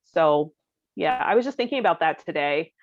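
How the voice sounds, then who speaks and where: A woman's voice: sits low, expressive, normal speed, dry recording, highs cut off. A woman co-hosting a podcast, sharing personal stories in a casual, candid, conversational way. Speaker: podcast co-host. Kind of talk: casual chat, personal anecdotes.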